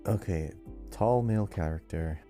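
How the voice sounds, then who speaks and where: A man co-hosting a podcast as quiz contestant, thinking aloud casually in a halting, self-questioning way. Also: deep voice, mellow, soft background music.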